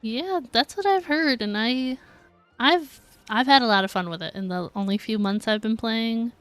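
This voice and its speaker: A woman streams a game and chats casually with her viewers. Her voice is clear and smooth, recorded close and dry, with soft game music behind it. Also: a little high, normal speed, no room sound.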